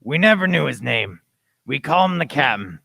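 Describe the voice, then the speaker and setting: A man's voice: mid-range voice, sing-song, moderate pace, creaky, sharp tone, slightly rough, full character voice. A man playing an earnest grown-up storyteller who recalls his boyhood in scripted, dramatic radio play narration. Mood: descriptive.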